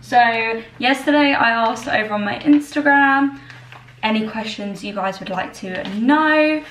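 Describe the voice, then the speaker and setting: A young woman in her twenties, tired and a little croaky, chatting in a bubbly way to her camera while she bakes in her home kitchen. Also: a little high, unhurried, a bit bright, slight background noise. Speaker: vlogger. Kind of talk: casual chat. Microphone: far camera. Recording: echoey kitchen from far off.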